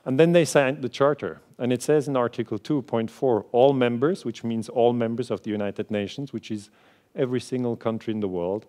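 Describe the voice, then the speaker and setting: A man in his forties from Switzerland, a native Swiss German speaker with a Swiss German accent, giving an earnest, conversational keynote lecture on a large theater stage. He fills pauses with "uh" and "um" and gestures as he talks. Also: medium pitch, dark tone. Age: 40s. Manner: earnest, conversational, many filler sounds, moves and gestures while speaking.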